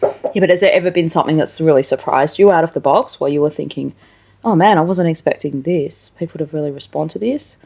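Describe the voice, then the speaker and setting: A woman's voice: sits low, fast, creaky, dark tone, slightly rough. An Australian woman hosting a casual interview, talking in an informal, rambling way. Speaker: interview show host.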